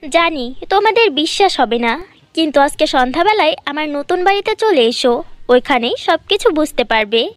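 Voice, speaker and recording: A woman's voice: high voice, animated, normal speed, no room sound. A woman voicing a naive poor young girl in a cartoon fairy tale, earnest and girlish. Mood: delighted.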